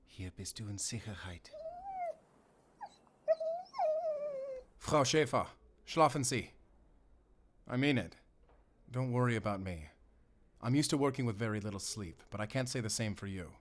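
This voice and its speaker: A mysterious werewolf hunter for a cinematic roleplay. His voice sits low, and he talks softly with dry, teasing wit. He comes across as protective, like someone keeping night watch and telling bedtime stories. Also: animated, normal speed. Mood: calm, protective. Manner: soft-spoken teasing, switching between English and German. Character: mysterious German-speaking male werewolf hunter, wry and protective. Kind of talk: cinematic audio roleplay, intimate bedtime storytelling.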